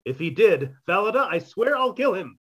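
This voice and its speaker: A man's voice: high-pitched, sing-song, normal speed, slightly rough, highs cut off, heavily acted. A man playing a blustering, dismissive illicit lover in an old-time radio comedy mystery. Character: blustering, dismissive male lover in murder farce.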